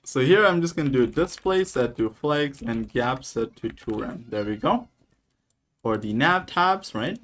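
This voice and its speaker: A man's voice: mid-range voice, lively intonation, a little slow, a bit bright. A man from Nepal on a live coding stream, casually dictating each line of code and chatting with viewers. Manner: casual, talks through each step while typing.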